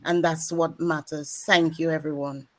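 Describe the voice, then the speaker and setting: A woman with a lowish voice closes her turn on a group video call. She sounds determined, though a few hesitant ums break into her sentences. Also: little pitch movement, moderate pace. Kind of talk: casual testimonial on a group call.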